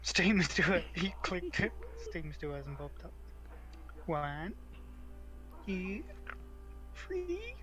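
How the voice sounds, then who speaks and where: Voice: mid-range voice, huge pitch swings, very slow, pauses often, low-quality recording. A giggly, playful game streamer who switches between casual chat and dramatic read-aloud narration, with game music behind. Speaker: streamer reading a visual novel.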